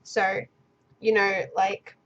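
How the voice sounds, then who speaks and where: A woman's voice: medium pitch, little pitch movement, very slow, a bit bright, lo-fi sound, a little hiss or hum. A young woman vlogger in her bedroom, answering viewer questions in a rambling, stuttering, unscripted way. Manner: stutters and restarts, rambling and easily sidetracked. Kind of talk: casual unscripted talk to camera.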